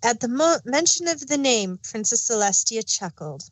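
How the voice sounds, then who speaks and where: A woman's voice: a little high, animated, moderate pace, no echo. A woman reading fan fiction aloud as an amateur storyteller and narrator.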